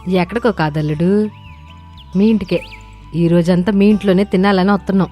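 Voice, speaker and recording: A woman voicing a brazen, freeloading village aunt in an animated moral story, pushy and unabashed. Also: fairly low pitch, moderate pace, soft background music, lightly acted.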